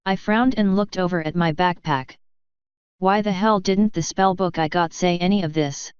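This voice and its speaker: Clear, smooth low-voiced woman narrator. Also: moderate pace, darkish tone, no echo, muffled recording. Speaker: audiobook narrator of fan fiction. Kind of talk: scripted first-person story narration.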